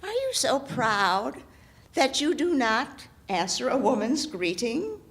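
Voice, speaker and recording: A woman's voice: fairly high pitch, very animated, full character voice. A woman storyteller voicing a loathly old hag who teases and draws out her words. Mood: sly, teasing. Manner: coaxing, drawn-out teasing lines. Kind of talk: oral storytelling.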